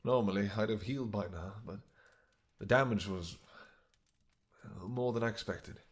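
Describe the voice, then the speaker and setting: A man playing a weary, gentle vampire king who speaks softly and haltingly to his beloved in a romantic fantasy audio roleplay. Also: lowish voice, lightly acted. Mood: tired, tender. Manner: soft, halting, gentle, intimate. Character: weary, tender vampire king, doting on his pregnant wife.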